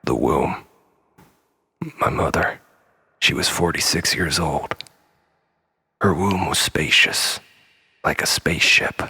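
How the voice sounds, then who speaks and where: A man's voice: rumbling low, takes it slowly, vocal fry, pauses often, pushed and bright, slightly rough. A man delivering a solemn, theatrical, darkly comic monologue in a brooding style.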